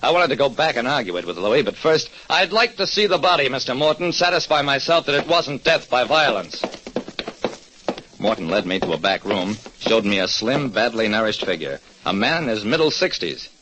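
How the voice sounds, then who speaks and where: A man's voice: medium pitch, sing-song, normal speed, noisy background, natural voice. An actor playing a wry, hard-boiled private detective who narrates his case tersely in an old radio drama. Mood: wry, dramatic.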